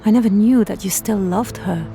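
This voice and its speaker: A woman's voice: medium pitch, normal speed, dark tone, soft background music, natural voice. An actress playing a capable young woman thief in an audio drama, a guarded but loyal protégée who speaks plainly and earnestly. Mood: sympathetic.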